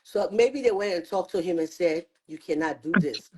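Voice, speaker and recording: A woman talking earnestly on a show, arguing her view in rapid bursts. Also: on the low side, lively intonation, husky. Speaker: guest commentator. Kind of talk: opinionated chat.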